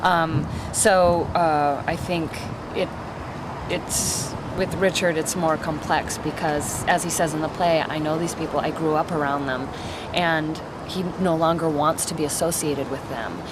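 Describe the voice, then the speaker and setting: Actress interviewee, female, lower register, thoughtful and halting, clear urban noise behind her. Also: fairly even intonation. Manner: thoughtful, halting, searching for words. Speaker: stage actress being interviewed. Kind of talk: reflective interview.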